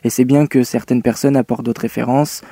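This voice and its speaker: A young man in his twenties from France talks in a relaxed, hesitant way, his words often broken by fillers and restarts. His voice is mellow and dark. The sound is dry and close. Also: mid-range voice, brisk, dry recording. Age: 20s. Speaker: guest, young filmmaker. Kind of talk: casual interview.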